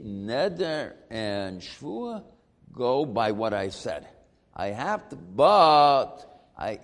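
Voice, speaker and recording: A man teaching a Talmud class, lively and questioning as he works through the argument. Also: medium pitch, in a large hall. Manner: animated, questioning, mixing Hebrew terms.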